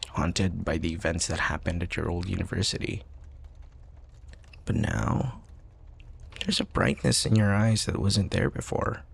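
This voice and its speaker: A man playing an affectionate, playful university student best friend in an intimate roleplay, talking softly and a little hesitantly in a husky voice. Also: low voice, full character voice. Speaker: audio roleplay voice actor. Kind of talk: intimate boyfriend ASMR roleplay.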